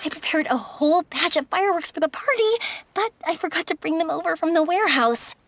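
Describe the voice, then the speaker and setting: A woman's voice: very high-pitched, fairly quick, a bit bright. A woman voicing a cheery, persuasive young fireworks maker in a video game, bubbly and coaxing. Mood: cheerful.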